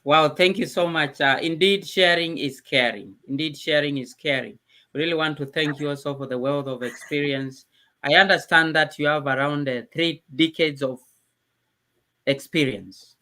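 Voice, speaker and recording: A man from Kenya with a Kenyan English accent, Kikamba his first language, hosting a video call talk show with enthusiastic praise and halting fillers. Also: a little high, bright, forceful tone.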